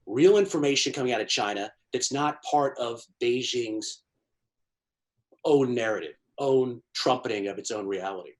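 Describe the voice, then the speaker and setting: A man's voice: mid-range voice, expressive, moderate pace. A middle-aged man from Washington, D.C., giving long, fluent, emphatic answers as an interview guest. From Washington, D.C., USA. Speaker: guest, China economy analyst.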